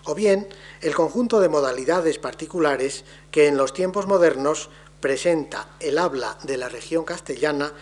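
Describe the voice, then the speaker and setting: A man delivering a formal academic lecture on linguistics in a measured, deliberate way, his phrases trailing off softly. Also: fairly high pitch.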